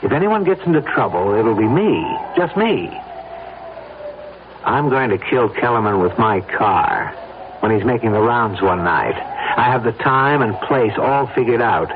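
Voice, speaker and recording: An actor playing a smooth-talking, insistent man who plots and persuades in a radio drama. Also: mid-range voice, expressive, unhurried, lightly acted. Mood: coolly confident. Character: scheming, persuasive man plotting a staged fatal accident. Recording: lo-fi phone-like radio broadcast, background noise.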